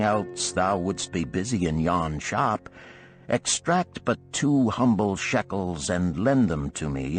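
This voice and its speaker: A man's voice: deep voice, full character voice. A man voicing a sociable male musician of ancient Babylon in an audiobook, with elaborate, archaic, theatrical speech. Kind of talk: audiobook character voice.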